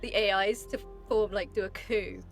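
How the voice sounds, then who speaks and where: A woman's voice: mid-range voice, moderate pace, over loud music. A woman who plays in a role-play game, talking casually about how she approached it. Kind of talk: casual chat.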